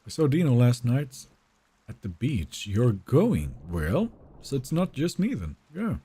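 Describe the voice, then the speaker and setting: A man's voice: medium pitch, sing-song, unhurried, dark tone, husky. A young man whose first language is Finnish, casually chatting with viewers and reading their messages during a live game stream from a home setup. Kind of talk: live gameplay stream, reading chat aloud.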